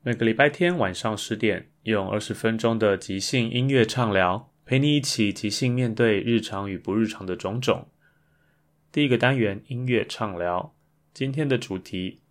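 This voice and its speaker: A man with a Taiwanese Mandarin accent, talking casually as a solo podcast host. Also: on the low side.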